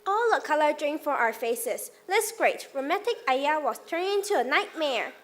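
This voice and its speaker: Female, very high pitch, bright forceful tone, scripted dramatic narration. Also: lively intonation, moderate pace. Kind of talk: dramatic readers theater narration.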